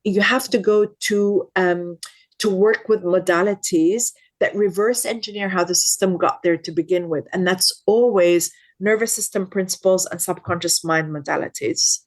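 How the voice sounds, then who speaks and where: A woman in her fifties from Lebanon, speaking as a podcast guest. Her voice sits a little low. She talks with earnest insistence and stacks up repeated words for emphasis. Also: moderate pace. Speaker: guest expert. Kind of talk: podcast interview.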